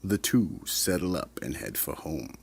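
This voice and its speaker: A man narrating a radio mystery drama in a deliberate, ominous storytelling manner. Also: rumbling low, sing-song, creaky, husky, no echo.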